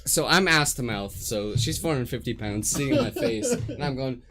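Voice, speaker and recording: A man from Utah, a podcast co-host, telling a crude story in a deadpan way that breaks into laughs. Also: medium pitch, normal speed, loud music behind the voice. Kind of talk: casual storytelling.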